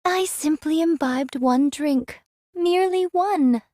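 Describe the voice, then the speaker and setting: A woman's voice: sits high, slow, mellow, dry recording, studio quality. An actress playing a proud, weary android swordswoman in a game drama, with formal, archaic and haughty diction.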